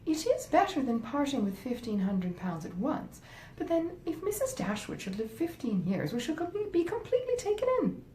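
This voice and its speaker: Woman reading as a selfish, wheedling wife, swooping pitch. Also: very animated, moderate pace, dark tone. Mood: grudging. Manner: emphatic, indignant, coaxing. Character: selfish, wheedling wife scheming against her sisters-in-law. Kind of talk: acted dialogue in a classic novel reading. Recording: roomy echo, faint rumbling noise.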